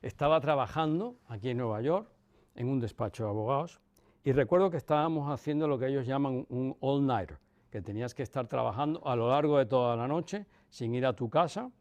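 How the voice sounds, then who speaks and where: Reflective older man, softened Cuban accent. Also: medium pitch, dull audio. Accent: Cuban softened by Castilian Spanish. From Cuba. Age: 60s, about 64. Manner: measured, pausing, emphatic repetition. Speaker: interviewee. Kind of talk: reflective personal reminiscence.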